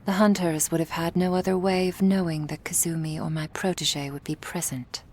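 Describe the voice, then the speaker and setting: A woman playing a cool, menacing female vampire crime boss in an ASMR roleplay, speaking in a measured, authoritative way. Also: on the low side, steady tone, darkish tone, lightly acted. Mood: coolly suspicious.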